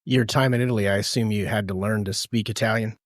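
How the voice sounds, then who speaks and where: A man hosting a podcast, asking questions in a hesitant way that trails off softly. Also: lowish voice, fairly even intonation, quick pace, no echo, clean studio sound. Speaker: podcast host. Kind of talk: interview questions.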